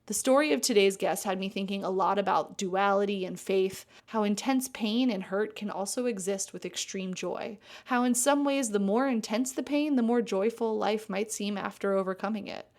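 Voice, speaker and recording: Podcast host, woman, middle-aged, blunt and chatty, mid-pitched voice. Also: moderate pace, hardly any pauses, darkish tone. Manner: frank, swears casually. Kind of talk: casual conversational interview.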